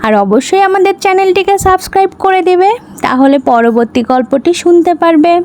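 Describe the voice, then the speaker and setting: A woman storyteller with a high-pitched voice. Her intonation moves widely as she reads a scripted children's tale in short, separated phrases. Some background noise sits behind the voice. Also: animated, fairly quick, a little mellow. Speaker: storyteller, channel host.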